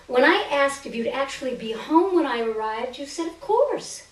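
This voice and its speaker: A woman giving an expressive, theatrical reading of a first-person short story on a stage. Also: sits high, moderate pace. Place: live stage reading indoors. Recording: roomy stage, distant microphone.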